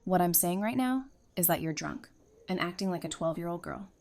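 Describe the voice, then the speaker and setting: Actress as frank nerdy woman, lowish pitch, wry, teasing, conversational, audio drama. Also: lively intonation, fairly quick, a little mellow. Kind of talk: fan audio drama acting.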